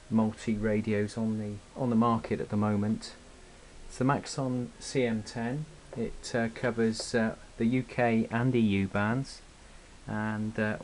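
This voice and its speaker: A man giving a casual, hesitant, unscripted product review and demonstration as a hobbyist, recorded on a camera microphone indoors. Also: lowish voice. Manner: hesitant, informal, unscripted delivery. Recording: hissy, noisy amateur camera recording.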